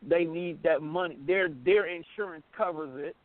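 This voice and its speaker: A man's voice: on the high side, moderate pace, no room sound. An American man co-hosting a radio talk show, explaining his opinions in a casual, emphatic, colloquial way. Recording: narrow phone-like line, dry.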